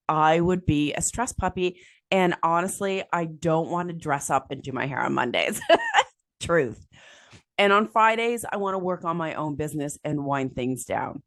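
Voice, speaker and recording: A woman hosting a solo podcast, raspy after being sick, talking in a chatty, upbeat way with lots of fillers and small laughs. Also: on the low side. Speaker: podcast host. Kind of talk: solo podcast tips talk.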